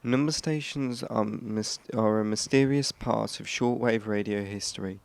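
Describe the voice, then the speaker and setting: A man's voice: lowish voice, gravelly, darkish tone, smooth, no echo. A man reading scripted narration as a host, stumbling over and restarting phrases now and then. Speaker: host of a shortwave radio history channel.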